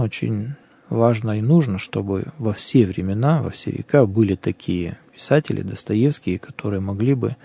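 A man's voice: fairly low pitch, vocal fry, warm tone, breathy edge. A man who is a priest, talking earnestly and thinking aloud in a conversational religious program.

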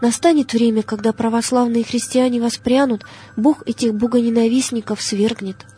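Woman reading as a husky, devout young preacher. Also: fairly high pitch, level tone, moderate pace, dark tone. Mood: earnest, exhorting. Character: devout, defiant young male peasant preacher.

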